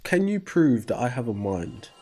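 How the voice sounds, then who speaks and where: A man's voice: mid-range voice, sing-song, moderate pace, crowd noise. A man hosting a call-in talk show, debating a caller and cutting in with rhetorical questions. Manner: argumentative, cuts in with rhetorical "right?".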